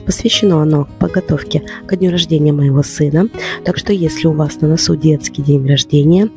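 A woman narrating her home vlog as a voice-over, slightly ill and a little hoarse, calmly explaining each step. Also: low voice, a little fast, over music. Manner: calm, instructive, explains step by step. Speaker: home vlogger.

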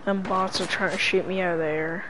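A woman's voice: lowish voice, steady tone, a little fast, background noise. A woman gaming with a friend over a headset, blunt and teasing, grumbling about the game. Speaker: streamer and gaming partner. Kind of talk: casual gaming chat. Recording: loud game music underneath.

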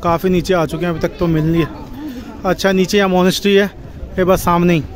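A man's voice: high voice, little pitch movement, quick pace. A young man in his twenties chatting casually as he walks and films himself on a phone held at arm's length in a busy outdoor market. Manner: chatty, walking while filming himself. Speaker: travel vlogger. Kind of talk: casual vlog chat. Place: busy outdoor town market and monastery grounds. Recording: outdoor phone audio with crowd noise. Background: crowd chatter and street noise.

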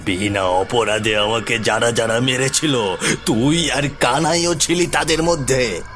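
A man voicing a menacing, vengeful beggar ghost with a harsh growl and drawn-out, taunting threats in a cartoon horror story. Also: medium pitch, a bit bright, faint music behind, close to an everyday voice.

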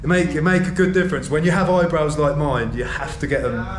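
A man's voice: on the high side, fast. A man in his thirties from England with an English accent, chatting upbeat to a handheld camera as a travel vlogger, often laughing. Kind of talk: casual travel vlog chat. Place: small street-side salon, then outdoors on a street. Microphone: handheld camera at arm's length. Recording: echoey room with clear ambient noise.

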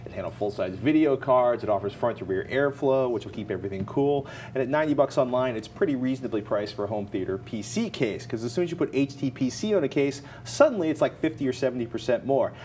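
A man's voice: medium pitch, expressive, quick pace, hardly any pauses, darkish tone, a little hiss or hum. A middle-aged American man co-hosting a tech show in an informal, conversational way. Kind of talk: casual studio presenting and banter. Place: indoor TV studio at a desk. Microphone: lapel mic.

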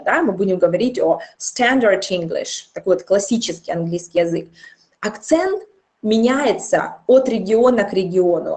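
A woman in her thirties teaching an English grammar lesson, explaining step by step and repeating example phrases slowly, recorded by a webcam in a small room. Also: mid-range voice, darkish tone.

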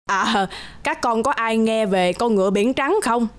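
Woman as high-voiced commanding old giantess. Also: a little slow, clean tone, lightly acted. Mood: dismissive, sly. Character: huge old giantess mother, gruff but kindly.

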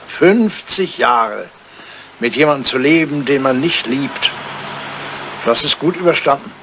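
A man's voice: medium pitch, animated, a little slow, a bit bright, slight background noise. An older man acting a lonely, lovelorn English lord in a German radio drama, insistent and theatrical in his phrasing. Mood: wistful. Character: wistful old English lord, lonely lovelorn bachelor writer.

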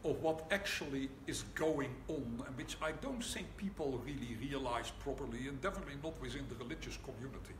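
An older rabbi with a Dutch accent gives a public lecture from the lectern, his words measured and emphatic, with a dry, wry humor. His voice sits at an ordinary male pitch. The hall rings with echo, and steady noise sits underneath it. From Netherlands. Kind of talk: public lecture at a book launch. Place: large synagogue hall, indoors. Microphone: camera low in front of the lectern. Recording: echoey hall with background noise.

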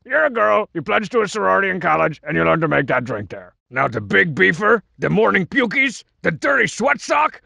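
A swaggering cartoon villain from a video game, juvenile and full of himself. The voice is heavily gravelly and forceful, swooping up and down as it brags and mocks in slangy tough-guy style. It comes across as broad, exaggerated comic acting. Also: high voice, very animated, fairly quick, hardly any pauses, dry recording, heavily acted. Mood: mocking, boastful. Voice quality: raspy, gravelly growl. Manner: bragging, mocking, slangy tough-guy delivery. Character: cocky, boastful cartoon wrestler-masked villain, juvenile troublemaker.

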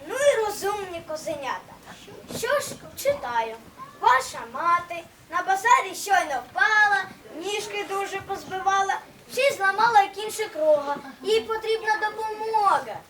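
A young performer plays a scheming wolf in a children's stage musical, declaiming in verse. The voice is very high and sharp, heard from far off in an echoey hall with some noise. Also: expressive, normal speed, heavily acted. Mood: sly, feigned concern. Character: sly wolf who tricks and menaces little kids. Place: school hall stage, recorded from the audience. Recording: echoey hall, distant noisy camera mic.

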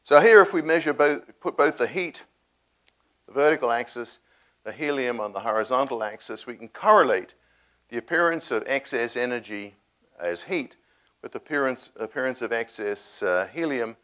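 A man giving a public science talk, explaining his experiments with many pauses, fillers and restarts. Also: medium pitch, lively intonation, fairly bright tone. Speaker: research scientist presenting a talk. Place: cafe or hall at a public science event.

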